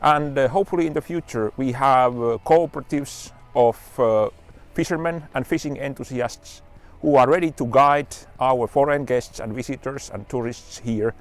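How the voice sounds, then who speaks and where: A man from Finland with a Finnish accent, giving a testimonial in non-native English, pausing between short phrases. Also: mid-range voice. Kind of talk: informal spoken testimonial.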